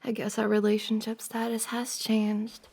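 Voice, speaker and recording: A woman playing a giggly, teasing young woman confessing to her crush in an intimate ASMR roleplay, with a creaky voice. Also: a little high, completely flat delivery, normal speed, a little mellow. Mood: shy, cosy. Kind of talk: intimate ASMR roleplay, acted as one side of a conversation.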